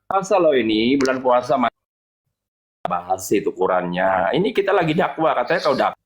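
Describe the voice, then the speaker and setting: A man's voice: mid-range voice, sing-song, brisk. A man in an informal live argument, taunting and interrupting with pushy repeated demands. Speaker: debate participant.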